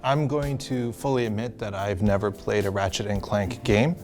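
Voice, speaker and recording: A man's voice: fairly low pitch, steady tone, normal speed, music in the background. A man chatting casually as a discussion guest, frank and critical in how he gives his opinions. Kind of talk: casual chat.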